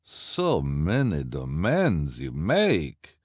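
A man voicing a scheming, greedy female fortune-teller who flatters and speaks broken English with a strange foreign accent. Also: fairly low pitch, slow pace, no echo, studio quality, voice pushed up. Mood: complaining. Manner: wheedling, ends phrases with "please". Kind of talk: audiobook character voice.

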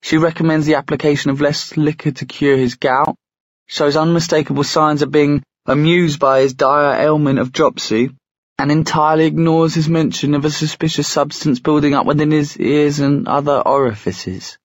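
A man voicing a young woman in a storybook who reads aloud in a formal, deliberate manner. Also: on the high side, fairly even intonation, voice pushed up, natural voice. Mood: formal, measured. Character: young woman reading a pompous letter aloud. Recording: roomy echo, slightly dull sound.

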